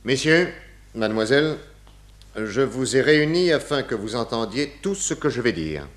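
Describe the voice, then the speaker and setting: Actor as theatrical, animated male detective. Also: mid-range voice, natural voice. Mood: accusatory, triumphant. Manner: theatrical, formal, deliberate enunciation. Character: shrewd, assured male detective laying out his case. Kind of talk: 1960s French radio play acting.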